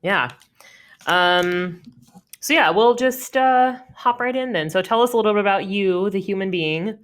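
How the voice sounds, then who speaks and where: A woman's voice: mid-range voice, measured pace, fairly bright tone. A woman in her thirties from Oregon, hosting a casual business podcast interview, chatty with many ums and laughs. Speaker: podcast host.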